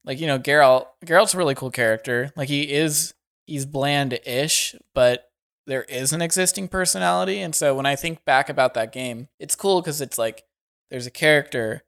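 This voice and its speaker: Man, smooth resonant voice, easygoing casual chat. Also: a little high, moderate pace, fairly bright tone.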